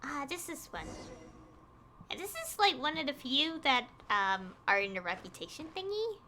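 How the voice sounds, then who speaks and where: Chatty girl streamer w a really high bright voice, rambles and giggles, casual gaming chat. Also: sing-song, moderate pace, a little hiss or hum. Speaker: game streamer. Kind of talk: casual livestream chat over gameplay, reading game dialogue aloud.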